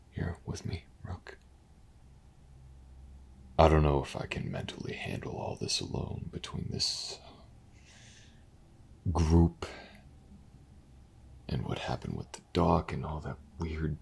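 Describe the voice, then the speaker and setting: Audio drama detective, played by a man, rock-bottom pitch, gravel-heavy fry, weary, skeptical, gruff yet earnest. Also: heavily acted. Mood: sincere, weary. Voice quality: gravelly, husky. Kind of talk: audio roleplay acting, intimate and hesitant.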